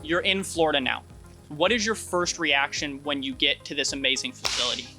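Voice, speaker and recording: A man with a slightly high, bright and forceful voice hosts a sports interview, asking his questions in an upbeat way. He fills his sentences with "like". Soft music runs faintly underneath. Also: a little fast. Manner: upbeat, leans on "like" as filler. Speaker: host, sports show interviewer. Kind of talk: hosting an interview.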